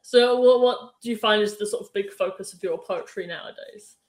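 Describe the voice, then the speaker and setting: A woman's voice: medium pitch, brisk, a little mellow, roomy sound. A woman hosting an interview, asking long rambling questions full of "like" and "sort of". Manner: chatty, rambling questions with many fillers. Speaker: podcast interviewer. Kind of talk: casual interview chat.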